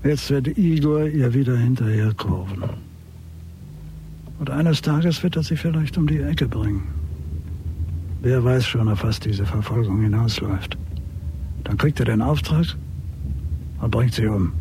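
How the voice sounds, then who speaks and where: A man's voice: medium pitch, measured pace, pauses often, background noise, close to an everyday voice. A middle-aged actor playing an embittered, grieving father who watches obsessively, in a terse radio drama inner monologue. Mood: watchful, brooding. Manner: brooding, terse, talks to himself. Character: bereaved, embittered father obsessively watching his son's killer.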